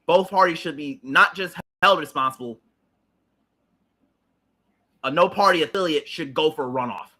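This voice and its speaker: A man's voice: fairly high pitch, moderate pace, long pauses, sharp tone. A man, hosting a livestream and ranting about politics in a heated, emphatic, repetitive way with blunt swearing. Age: late 30s. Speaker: livestream host.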